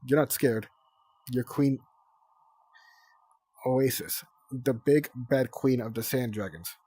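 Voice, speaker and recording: A man's voice: medium pitch, steady tone, takes it slowly, dark tone, breathy edge, dry recording. A man voicing a bossy eldest young female dragon, firm and exasperated.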